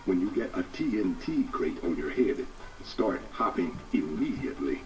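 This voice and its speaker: An actor voicing a wise guardian mask spirit in a video game, giving measured, instructive tips. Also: male voice, sits low, sing-song, recorded from a distance, soft background music, low-quality recording. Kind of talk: scripted video game tutorial.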